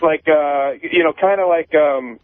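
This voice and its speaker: Man, phone-in caller, slightly high voice, tinny telephone sound, halting, repetitive, profane, defensive. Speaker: show caller. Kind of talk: casual confessional phone-in chat. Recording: tinny band-limited phone line.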